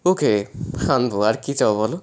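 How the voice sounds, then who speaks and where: Casting: actor as bemused new husband for romantic drama; medium pitch, weary, gently protesting, gives in easily. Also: male voice, animated, moderate pace, natural voice. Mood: indulgent. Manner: hesitant, mildly protesting, indulgent. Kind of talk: romantic audio story acting.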